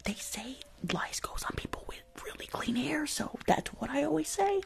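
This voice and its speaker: A woman's voice: high-pitched, animated, normal speed, heavily acted. Woman playing a sassy whispering school nurse. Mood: rambling, confessional. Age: young adult. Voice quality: breathy whisper with fry. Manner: sassy, grumbling, rambling. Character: rude, weary, complaining female school nurse. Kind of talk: whispered ASMR roleplay. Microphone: close foam-covered microphone on desk.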